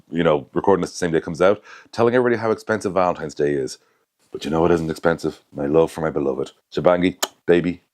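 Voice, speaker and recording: Very deep-voiced man talking shop. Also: a little mellow. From Ireland. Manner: rambling, self-correcting, conversational asides. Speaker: basketball commentator, channel host. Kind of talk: casual analysis to camera.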